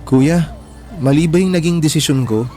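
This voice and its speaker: A man's voice: a little high, moderate pace, mellow, soft background music, natural voice. A young man playing a sensitive, affectionate younger brother in an emotional radio drama, speaking in a boyish, earnest way. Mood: worried, guilty. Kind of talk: radio drama acting in Tagalog.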